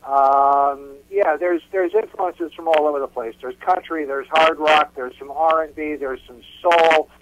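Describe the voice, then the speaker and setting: A man's voice: on the high side, fairly even intonation, unhurried, few pauses, dull audio. A man speaking as a musician guest in a casual interview, repeating words as he explains.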